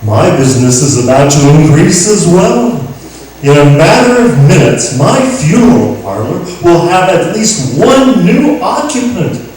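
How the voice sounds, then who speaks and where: Man as a slow-talking, greedy western undertaker. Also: fairly high pitch, sing-song, a little mellow. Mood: gloating. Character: morbid, envious, greedy western town undertaker. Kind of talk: old-time radio comedy western acting. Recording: very echoey room, distant mic, faint noise.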